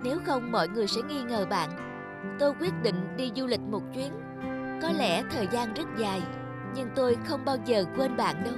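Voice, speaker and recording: Loyal, theatrical lanky demon. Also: male voice, very high-pitched, lively intonation, normal speed. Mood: tender farewell. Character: loyal self-sacrificing lanky blue demon friend, male. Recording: music under the dialogue.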